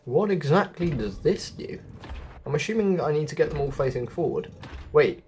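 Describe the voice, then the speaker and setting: Man with lively, swooping gameplay commentary. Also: on the high side, normal speed, a little hiss or hum. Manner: chatty, thinking aloud. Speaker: video game guide host. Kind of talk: casual gameplay walkthrough commentary.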